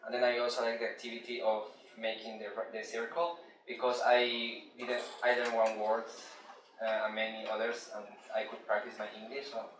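Flat-voiced man speaking haltingly. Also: medium pitch. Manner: halting, searching for words. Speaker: first-time guest. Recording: distant, roomy, light noise.

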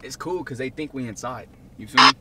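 A young American man doing casual, slangy prank vlog banter in a boisterous way inside a car. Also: fairly quick. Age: young adult. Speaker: prank video host. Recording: noisy car interior, loud vehicle rumble.

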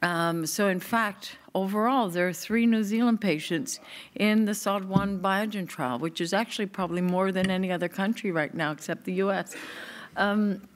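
A middle-aged woman from Newfoundland giving a conference talk at a podium microphone, relaxed and conversational, with light asides. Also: medium pitch, moderate pace. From Newfoundland, Canada. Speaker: clinical researcher, speaker.

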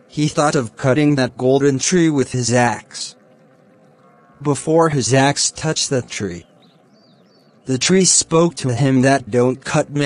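A man playing a kind, helpful young man in a children's cartoon, speaking in simple, measured scripted lines. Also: mid-range voice, darkish tone, close to an everyday voice.

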